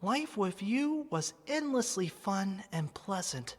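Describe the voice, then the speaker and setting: A sweet living teddy bear, acted by a woman in an ASMR roleplay, talking slowly with big swoops of pitch. The manner is tender and wistful, as if to a dear childhood friend, and a long reverb trails the voice. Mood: tender, bittersweet. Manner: gentle, expressive, addressing the listener as an old friend.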